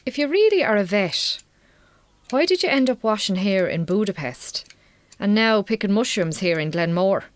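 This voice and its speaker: An actress as a wry, weary Irish farm wife in a radio drama. Her Irish accent comes with a very mobile, expressive pitch and a blunt, colloquial manner that has warmth under it. The recording is dry. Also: normal speed, no echo, close to an everyday voice. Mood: wry, envious.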